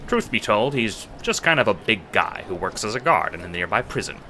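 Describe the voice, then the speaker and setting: Deep-voiced man narrating, with background noise. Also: sing-song, brisk, sharp tone. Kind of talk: scripted audio drama narration in second person.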